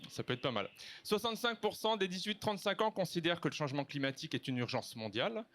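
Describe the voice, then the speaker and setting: A man's voice: a little high, expressive, fast talker, a bit bright. A man moderating a live conference panel, speaking to the audience in an informal, chatty way. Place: live festival stage with audience.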